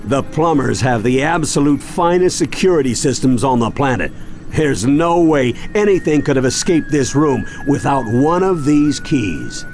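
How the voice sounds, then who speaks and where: A man's voice: mid-range voice, animated, normal speed, darkish tone, faint music behind, lightly acted. An actor playing a seasoned older grandfather and monster fighter in a video game cartoon, earnest and authoritative. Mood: grave explaining.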